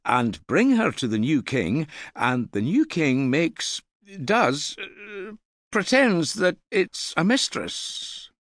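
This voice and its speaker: A man's voice: on the high side, sing-song, takes it slowly, a bit bright, no echo, clean studio sound, pitched above the performer's own voice, exaggerated voice. A man voicing an eager, naive boy retainer in an audiobook, blurting out his words with some hesitation. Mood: eager, embarrassed. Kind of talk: audiobook character dialogue.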